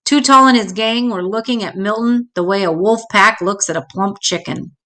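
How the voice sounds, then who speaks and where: Lady reading a kids book out loud, bright clear-cut voice, expressive storytime narration. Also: normal speed. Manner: acts out dialogue with changing pitch. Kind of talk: expressive read-aloud narration for children.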